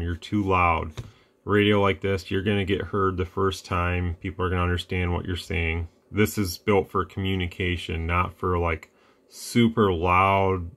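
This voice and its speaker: A man hosting a casual radio demo at his workbench, talking in a relaxed, plain-spoken way with rambling asides. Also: sits low, creaky. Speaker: radio repair channel host.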